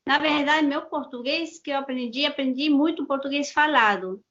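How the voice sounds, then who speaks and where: A woman from Peru, a native Spanish speaker with a heavy Peruvian accent, chatting as an interview guest in an unhurried way, restarting and repeating words. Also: sits high, a bit bright. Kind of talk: casual interview chat. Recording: dull lo-fi sound.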